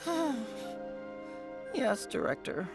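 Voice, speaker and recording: Nervous little worker npc, stammers, talks real slow, game music going behind. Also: medium pitch, wildly swooping pitch, vocal fry, heavily acted. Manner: hesitant, stammering. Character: timid funeral parlor employee, nervous and hesitant. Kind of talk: video game voice acting.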